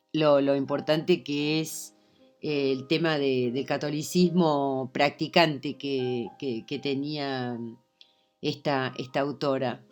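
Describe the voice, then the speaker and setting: A woman teaching a literature class, speaking hesitantly with many fillers and restarts. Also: low voice. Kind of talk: online lecture.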